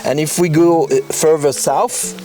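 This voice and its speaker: A man with a French accent hosting an outdoor interview on a hillside, enthusiastic and halting with fillers. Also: fairly high pitch, fairly even intonation. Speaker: host interviewer. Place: outdoors on a hillside above a lake. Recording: distant mic outdoors, music bed.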